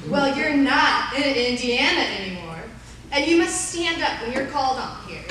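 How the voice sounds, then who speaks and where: A woman's voice: high-pitched, expressive, normal speed, fairly bright tone. An actress playing a haughty, impatient woman schoolteacher in a live radio play, dismissive and scolding. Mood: stern, brisk. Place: live on a theater stage. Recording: very echoey live hall, distant, faint noise.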